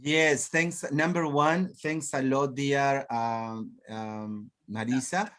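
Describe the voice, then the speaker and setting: A man from Peru with a Peruvian accent, hosting an online teachers' meeting, halting and repeating his words. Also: medium pitch, steady tone, a bit bright. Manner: slow and halting, repeats words, laughs often. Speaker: host. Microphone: video call.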